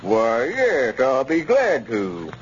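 An actor playing a wheedling, self-pitying small-town squire testifying as an injured plaintiff in a 1930s radio comedy. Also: male voice, medium pitch, huge pitch swings, slow pace. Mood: aggrieved, wheedling. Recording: muffled, noisy 1930s radio recording.

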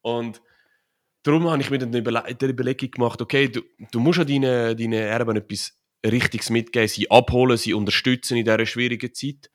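A man's voice: medium pitch, steady tone, brisk. A man with a Swiss German accent, a podcast guest explaining things in a rambling, casual way with many fillers and tag questions. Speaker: podcast guest, author and crypto consultant. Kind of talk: casual conversational interview.

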